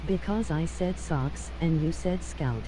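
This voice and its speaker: A woman's voice: sits low, a little slow, noisy background. A woman chatting casually and teasingly during a gaming session.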